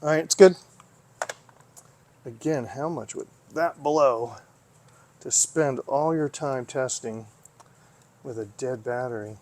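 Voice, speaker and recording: A man's voice: mid-range voice, darkish tone, a little hiss or hum. A man giving casual hands-on commentary as he tinkers, thinking aloud and often asking himself questions. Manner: musing, talks to himself, asks himself questions. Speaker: vlogger, hobby repair host.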